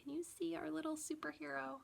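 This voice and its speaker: A woman children's librarian reading a picture book aloud in an expressive, deliberate storytime manner for young listeners. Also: high-pitched, creaky.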